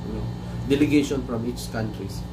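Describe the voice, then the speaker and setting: A man's voice: mid-range voice, moderate pace, distant mic. Filipino english guy, chef doing a live stream, kinda chatty, off-mic a bit, ac humming in the room. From Philippines. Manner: mixes English with Filipino, chatty, repeats words. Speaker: chef and livestream host. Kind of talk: casual livestream talk. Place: small room indoors. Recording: noisy room with air conditioner hum. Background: air conditioning hum.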